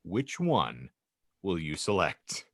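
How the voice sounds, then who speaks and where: Animated low-voiced man hosting a podcast. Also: takes it slowly, dry recording, studio quality. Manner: mock-grandiose, wordy phrasing. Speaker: podcast host. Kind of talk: casual comedic podcast hosting.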